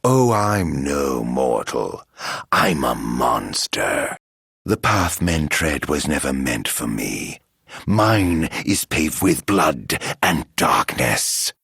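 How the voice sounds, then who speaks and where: Raspy, vengeful immortal villain. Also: male voice, extremely low pitch, big pitch swings, fairly bright tone, no room sound, clean studio sound, heavily acted. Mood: defiant, menacing. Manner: grandiose, archaic wording, slow dramatic delivery. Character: vengeful, tormented immortal man turned self-proclaimed monster. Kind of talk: theatrical video game voice acting.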